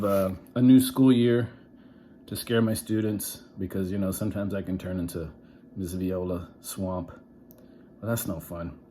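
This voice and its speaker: A man reading a children's story aloud like a teacher, expressive and deliberate, with dramatic pauses and asides. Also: on the low side, a little hiss or hum.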